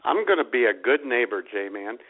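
A man's voice: mid-range voice, lively intonation, moderate pace. A man co-hosting a podcast, chatting casually, teasing his partner and laughing often. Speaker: podcast co-host. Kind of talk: casual chat. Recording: tinny phone-like sound.

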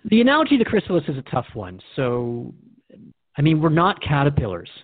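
Earnest man with lively, swinging intonation. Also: a little high, brisk, dry recording, phone-quality sound. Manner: earnest, reflective, questioning. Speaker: radio host. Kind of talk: radio drama dialogue, questioning interviewer.

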